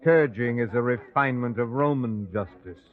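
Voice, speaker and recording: An actor playing a scheming priest who persuades a governor slyly, in an old-time radio drama. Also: male voice, medium pitch, normal speed, crowd noise, close to an everyday voice. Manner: sly, persuasive. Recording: tinny phone-like lo-fi recording.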